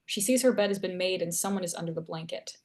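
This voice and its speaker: Slightly low-voiced woman narrating a screenplay. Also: fast talker, a little mellow. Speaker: narrator reading stage directions. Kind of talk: scripted narration at a screenplay table read.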